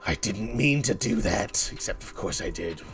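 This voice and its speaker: A man's voice: deep voice, expressive, normal speed. A man chatting casually and jokingly as he hosts a game developer's live stream, playfully rambling. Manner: joking, playful, acts out little imagined exchanges. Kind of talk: casual rambling livestream chat. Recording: music under the voice. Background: background music.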